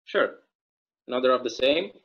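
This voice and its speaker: A folksy small-town bartender played by a young man at a table read, chatty and given to rambling storytelling. His pitch sits mid-range for a man. The sound is a slightly dull, lo-fi video call. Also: lively intonation, moderate pace, natural voice. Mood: easygoing. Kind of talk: online table read of a stage play. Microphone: video call microphone.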